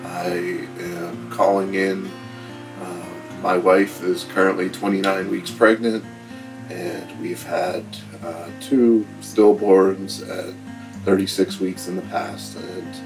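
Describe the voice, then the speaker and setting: A very deep-voiced man calls in with a prayer request, speaking slowly and hesitantly, pausing often in an even, flat tone. Guitar music plays clearly behind him. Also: poor audio. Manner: slow, hesitant, with many pauses and fillers. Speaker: caller.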